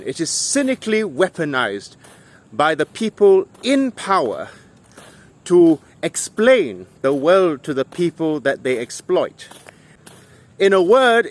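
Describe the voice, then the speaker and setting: A man delivering scripted political commentary in an emphatic, rhetorical manner, with measured phrases and deliberate pauses. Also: a little high.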